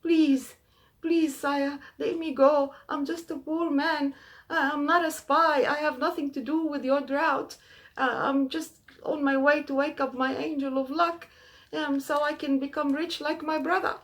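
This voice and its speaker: A man's voice: very high voice, steady tone, unhurried, fairly bright tone, voice pushed up. A woman voicing a poor wandering man who stammers and begs, pleading for his life.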